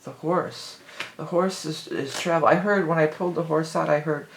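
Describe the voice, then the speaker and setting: A hoarse woman giving a casual, rambling tarot reading, full of fillers and restarts. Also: sits low, steady tone, moderate pace, echoey room. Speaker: tarot reader.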